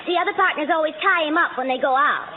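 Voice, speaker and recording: An actress playing a chirpy, matter-of-fact office secretary in a 1940s radio comedy. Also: female voice, sits high, fast, natural voice. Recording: muffled, very noisy vintage radio with audience.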